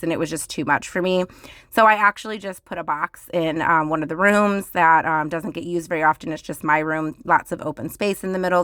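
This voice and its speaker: Hoarse woman, low and groggy. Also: a bit bright, dry recording, clean studio sound. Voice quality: groggy, crackly. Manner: chatty, rambling with fillers. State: hoarse, losing her voice. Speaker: home vlogger. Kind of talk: casual voice-over chat.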